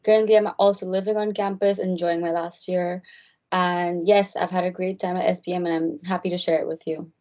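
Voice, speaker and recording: A woman's voice: on the low side, flat delivery, brisk, a little mellow. A young woman from India, a third-year student, presenting in a friendly, earnest way with some hesitant fillers. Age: young adult. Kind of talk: informal presentation.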